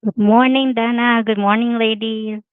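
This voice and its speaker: A woman's voice: on the high side, steady tone, normal speed, clean tone, no room sound. A woman from Vietnam with a Vietnamese accent, introducing herself as a panel guest in a friendly way. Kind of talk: casual self-introduction. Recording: tinny phone-like line, dry.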